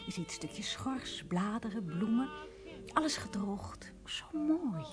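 A woman's voice: fairly high pitch, big pitch swings, slow. Lilting, dreamy tender woman. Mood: enraptured. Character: tender, enraptured young woman who loves botany. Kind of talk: naturalistic radio drama dialogue. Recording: radio play with music behind.

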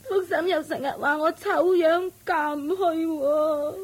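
An actress playing a timid, meek young maid girl in a radio drama, talking in a soft, whimpering, hesitant way. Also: high voice, steady tone, a little slow. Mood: tearful. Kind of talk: Cantonese radio drama acting.

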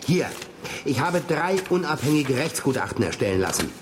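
A man's voice: medium pitch, big pitch swings, moderate pace, lightly acted. An actor playing an ambitious, scheming businessman in a radio drama, speaking insistently and persuasively. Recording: noticeable background ambience noise.

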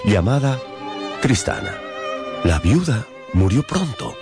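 A man's voice: medium pitch, sing-song, takes it slowly. A man narrating a literary radio novel in a theatrical storytelling manner. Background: music.

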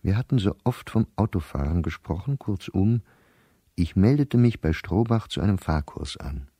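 Radio play: embittered, anxious diarist professor, measured delivery, dark mellow tone, close dry sound. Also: male voice, low-pitched, lively intonation, slightly rough, no room sound. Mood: bitter, wry. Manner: measured, weary, dropping to near-whisper at grim thoughts. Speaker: diarist narrator. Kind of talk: literary radio play monologue, diary reading.

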